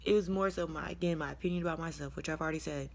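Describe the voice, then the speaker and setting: Low-voiced American woman, chatting candidly. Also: fairly even intonation, rapid-fire, creaky. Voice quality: low-toned, deep voice. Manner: candid, colloquial, rambling, chuckles as she talks. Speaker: vlogger sharing a personal testimony. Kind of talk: casual confessional storytelling to camera.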